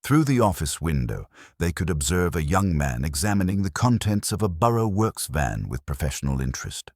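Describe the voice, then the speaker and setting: Very deep-voiced male storyteller. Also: big pitch swings, dry recording, clean studio sound. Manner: measured, formal, literary storytelling. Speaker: narrator reading a detective story. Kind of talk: scripted audiobook narration of a mystery.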